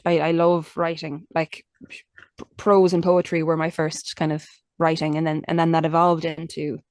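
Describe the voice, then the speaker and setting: Young Irish woman, lowish voice, candid and chatty interview guest, dry recording. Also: dry recording. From Ireland. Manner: chatty and candid, many restarts and "like" fillers. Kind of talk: casual conversational interview.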